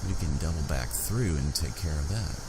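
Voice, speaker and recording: A man giving unhurried, understated roleplay commentary as he hosts a gaming video. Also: rumbling low, animated. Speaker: gaming channel host. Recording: game ambience noise behind.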